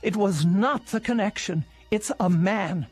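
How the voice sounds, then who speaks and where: A woman's voice: mid-range voice, a little slow, a little mellow, faint music behind. A woman playing an elderly, solitary invalid in a radio drama, agitated, insistent and pleading. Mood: anxious.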